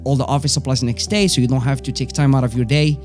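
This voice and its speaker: A man's voice: medium pitch, fairly even intonation, quick pace, darkish tone, soft background music. A man in his forties with a Moroccan accent, a native Arabic and French speaker, casually telling personal stories as an interview guest. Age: 40s. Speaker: interview guest, business owner.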